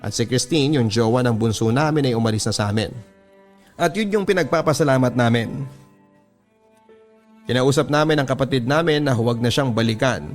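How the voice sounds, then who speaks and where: A man with a Filipino accent reading listener letters as a radio storyteller and host. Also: mid-range voice. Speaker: host and narrator of a story show. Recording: background music under the voice. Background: music.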